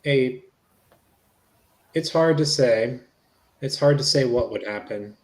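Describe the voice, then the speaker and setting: A man's voice: mid-range voice, takes it slowly, a little mellow, natural voice. A man playing a polite, reassuring police investigator in a conversational transcript reading, repeating himself as he goes. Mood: matter-of-fact. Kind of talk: dramatic reading of an interview transcript. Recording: echoey room.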